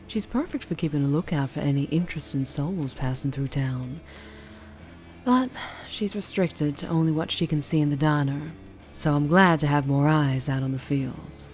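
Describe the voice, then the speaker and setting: A self-assured Southern vampire woman, acted by a female performer, speaking low over clear music. She is flirtatious and unhurried, teasing with pet names in an ASMR audio roleplay. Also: a little mellow.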